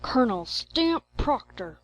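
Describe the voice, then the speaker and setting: A woman's voice: high voice, sing-song, unhurried, rough recording. A woman reading out cast credits as one of an audiobook's readers.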